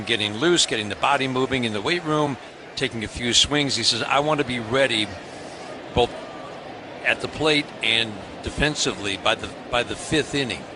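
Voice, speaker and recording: A man calling baseball play-by-play on the radio, briskly, his voice rising on big plays. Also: mid-range voice, background noise. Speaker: play-by-play announcer.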